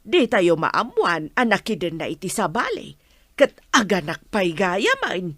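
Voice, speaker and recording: A woman playing an inquisitive, gossipy young village woman in a radio drama, talking in an animated, questioning way. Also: medium pitch, unhurried, no echo, studio quality, heavily acted. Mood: probing.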